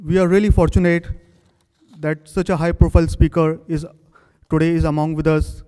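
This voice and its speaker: A man's voice: a little high, flat delivery, normal speed, mellow, smooth. A man hosting a technical talk, formally reading out the guest speaker's credentials in short phrases at a podium microphone. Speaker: event host introducing the speaker. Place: large conference hall. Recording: long hall reverb.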